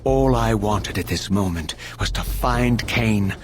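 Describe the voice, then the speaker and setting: A bitter undead wraith in a fantasy game, speaks over prominent music. The voice is low and brooding, with formal, grandiloquent phrasing and a vengeful edge. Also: male voice, takes it slowly. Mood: bitter, anguished. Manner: solemn, formal, grandiloquent delivery. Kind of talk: theatrical scripted game narration and dialogue. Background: dramatic game music.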